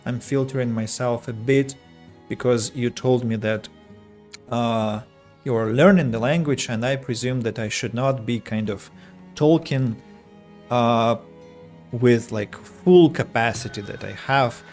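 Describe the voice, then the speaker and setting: A young adult man from Russia with a Russian accent, streaming a strategy game and chatting with viewers, choosing his words slowly and carefully with frequent restarts. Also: mid-range voice, a little mellow, faint music behind. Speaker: streamer and English teacher. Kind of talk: casual gameplay streaming chat.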